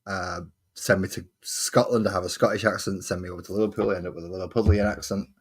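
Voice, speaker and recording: A man's voice: deep voice, fairly even intonation, fast, husky. An English man chatting casually and self-deprecatingly while building a hobby model on his stream. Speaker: hobby streamer painting miniatures. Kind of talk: casual chat while crafting.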